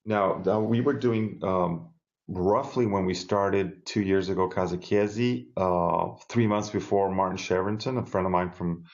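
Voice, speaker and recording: A man's voice: sits low, a little slow, lo-fi sound. A middle-aged man from South America, chatting as a guest on a video-call interview, enthusiastic and rambling with restarts, from a home room with bookshelves. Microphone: earbud headset on a video call.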